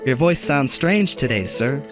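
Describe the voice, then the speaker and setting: A man's voice: medium pitch, animated, a little slow, over music, natural voice. A man acting an earnest, formal, deferential aide advising his boss in a radio drama. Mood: concerned.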